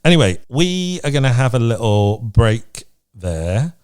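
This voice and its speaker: A man's voice: medium pitch, sing-song, a little slow, a little mellow, clean tone. A British man co-hosting a podcast, chatting casually and reading out lists in a frank, playful way. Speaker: podcast co-host. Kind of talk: casual chat, reading out from a list.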